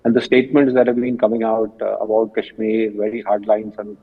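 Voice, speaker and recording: A man with an Indian accent giving measured expert analysis over a distant, tinny, phone-like connection. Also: lowish voice, little pitch movement. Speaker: retired general as expert guest.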